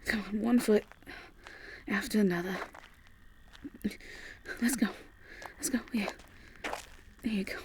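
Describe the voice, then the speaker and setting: A woman playing a tender, worried Southern US farmer sweetheart in an audio roleplay, in a breathy, husky voice that is often near a whisper, with a soft, halting drawl. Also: mid-range voice, steady tone, a little hiss or hum. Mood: anxious, soothing. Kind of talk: ASMR audio roleplay acting.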